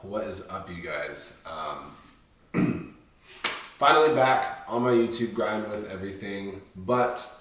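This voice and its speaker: Young man, lowish voice, nasal and stuffed up, rambling casual chat, very echoey room, mic set back. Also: lively intonation, takes it slowly, fairly bright tone, distant mic, slight background noise. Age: 20s. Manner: laid-back, rambling, frequent ums and restarts. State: stuffy-nosed from a sinus infection. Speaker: bodybuilding vlogger. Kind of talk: casual talk to camera.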